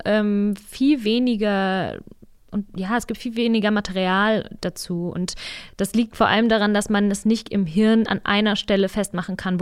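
A woman's voice: medium pitch, clear, resonant tone, no room sound, studio quality. A woman co-hosting a podcast, talking conversationally and searching for her words. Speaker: podcast co-host.

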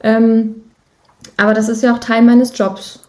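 Higher-voiced young woman, mellow and enthusiastic. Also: flat delivery, unhurried. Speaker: interview guest, musician and voice actor. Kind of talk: casual interview chat.

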